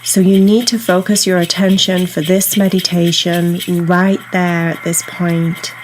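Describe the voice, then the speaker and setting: A woman guiding a meditation in a soothing, unhurried, instructional way, leaving pauses between phrases. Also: lowish voice, little pitch movement, slight background noise. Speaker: meditation guide. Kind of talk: guided meditation narration. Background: soft ambient meditation music.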